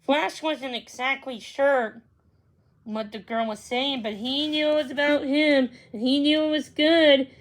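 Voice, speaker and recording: A woman's voice: high voice, steady tone, unhurried. A woman reading a children's storybook aloud, sometimes stumbling over words and correcting herself. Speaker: read-along reader.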